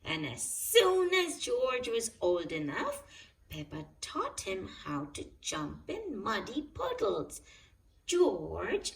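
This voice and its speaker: Female storybook reader for young children; expressive, unhurried delivery, lively melody. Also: fairly high pitch, far from the mic, slight background noise. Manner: expressive, addresses young listeners directly. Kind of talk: read-aloud children's story narration.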